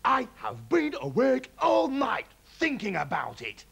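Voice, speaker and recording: Man putting on an overexcited farmer dad, high, gushing, gravelly. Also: sing-song, moderate pace, fairly bright tone, heavily acted. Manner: breathless, gushing enthusiasm. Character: excitable scheming middle-aged farmer father.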